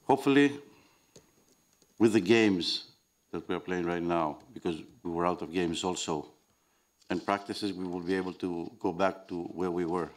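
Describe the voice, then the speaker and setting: A man's voice: low-pitched, unhurried. A middle-aged man, an assistant coach giving brief, matter-of-fact answers at a post-game press conference. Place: press room at a basketball arena. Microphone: table mic.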